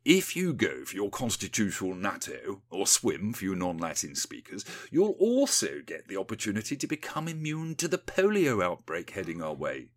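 A man's voice: sits low, huge pitch swings, normal speed, hardly any pauses, exaggerated voice. A man voicing a creepy, condescending upper-class politician and undertaker with a pompous drawl in a satirical storybook parody. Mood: smug, menacing.

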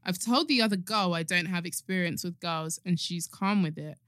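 A woman's voice: fairly low pitch, moderate pace, fairly bright tone, no room sound, studio quality. A young woman, hosting a casual podcast chat in a playful, teasing way. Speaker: podcast host.

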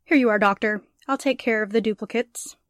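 A woman's voice: mid-range voice, fairly quick, no room sound, studio quality, lightly acted. A woman playing a polite, obliging stenographer in a 1940s-style radio drama.

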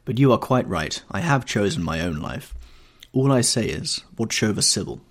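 A man's voice: on the low side, lively intonation, normal speed, slightly rough, pitched above the performer's own voice. A man voicing a stern, sullen young son bound for sea, blunt, harsh and protective, in an audiobook. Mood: stern, insistent. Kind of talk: audiobook character dialogue.

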